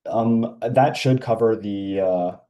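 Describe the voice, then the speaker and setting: A man walking through a software feature in a casual screen-share demo, with frequent ums and uhs. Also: on the low side, slow pace.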